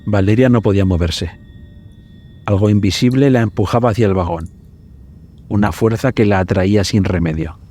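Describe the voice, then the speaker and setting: Low, mellow male horror narrator. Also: moderate pace, pauses often. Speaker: story narrator.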